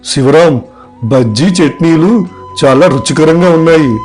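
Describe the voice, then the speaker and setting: A man playing a direct, plain-spoken village man in an animated moral story. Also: a little high, unhurried, soft background music. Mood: appreciative. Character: plain-spoken village men, eatery customers and boss.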